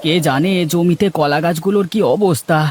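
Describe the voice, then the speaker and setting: A man's voice: fairly high pitch, a little fast, a little mellow, breathy edge. A man voicing village men in a Bengali cartoon moral tale, with theatrical, emphatic delivery. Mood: worried, exasperated. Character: village men of a moral tale, from scheming fruit seller to distraught farmer. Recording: music behind the voice.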